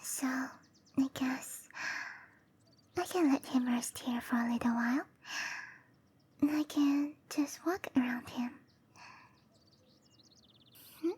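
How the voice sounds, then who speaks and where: A woman voicing a gentle, caring cherry blossom dryad girl in an ASMR roleplay, musing softly to herself in a breathy, often whispered voice. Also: sits high, full character voice. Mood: torn, concerned. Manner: musing to herself, slow and tender.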